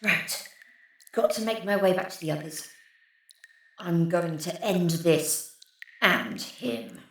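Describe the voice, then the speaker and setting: A woman playing an anxious but determined resistance agent, halting and gasping, in an audio drama. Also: lowish voice, sing-song, full character voice. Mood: pained, uneasy. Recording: strongly echoing, faint background noise.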